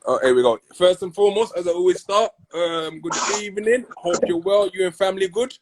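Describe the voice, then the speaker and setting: Halting man hosting a call. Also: high voice, a little mellow. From Congo. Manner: halting, repeats words while searching for phrasing. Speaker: podcast host. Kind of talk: casual interview. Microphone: video call.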